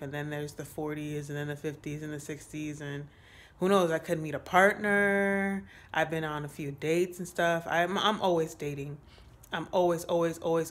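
A woman in her late twenties talking to her phone camera in a small room, giving a casual, rambling update with many pauses and fillers. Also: deep voice. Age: 20s. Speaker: vlogger. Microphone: phone held close at arm's length.

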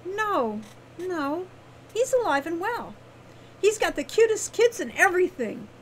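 A woman's voice: very high voice, big pitch swings, measured pace, voice pushed up, exaggerated voice. A woman voicing an eager, stubborn young girl with a playful, pleading manner in a storybook tale. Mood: teasing, gleeful. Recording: clearly noisy background.

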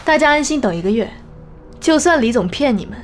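A woman's voice: high voice, animated, fairly quick, faint music behind. A woman playing a composed, diplomatic executive in an audio drama, polished and placating. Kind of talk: Chinese audio drama acting.

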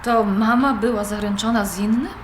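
A woman's voice: mid-range voice, normal speed, lightly acted. A young woman playing an independent-minded granddaughter, conversational and questioning, in audio drama dialogue. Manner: conversational, questioning, mildly protesting. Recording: roomy recording with background noise.

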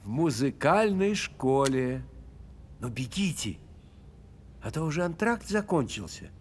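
An actor playing an elegant grasshopper conductor in a children's cartoon, teaching patiently in a theatrical, measured manner. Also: male voice, medium pitch, very animated, slight background noise. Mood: kindly.

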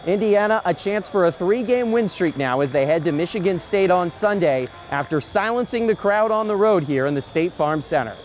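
A man's voice: sits high, lively intonation, normal speed, few pauses, soft background music. A young man delivering a scripted TV sports report as a reporter. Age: young adult. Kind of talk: scripted TV news package. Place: large indoor basketball arena. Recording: faint arena background noise.

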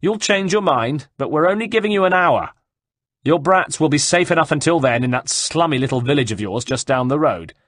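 A man voicing a mocking Italian nobleman villain in an audiobook, taunting and projecting loudly. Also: mid-range voice, very animated, a little slow, hardly any pauses, slightly rough, dry recording, clean studio sound, voice pushed up, heavily acted. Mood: threatening. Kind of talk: audiobook character dialogue.

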